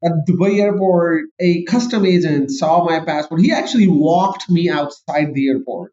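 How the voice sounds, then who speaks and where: A man with a Pakistani accent talks in an eager, rambling way and jokes about himself. His voice sits fairly high. The sound is muffled and lo-fi. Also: mellow. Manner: eager, rambling, self-joking. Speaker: guest. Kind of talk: casual interview chat.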